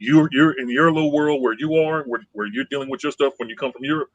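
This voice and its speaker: A man with a husky, slightly breathy voice talks through his view in a casual podcast chat. He sounds calm and conciliatory, circling back and repeating phrases. The sound is dry and close, with no room echo. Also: mid-range voice, fast. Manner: conciliatory, rambling, repeats himself.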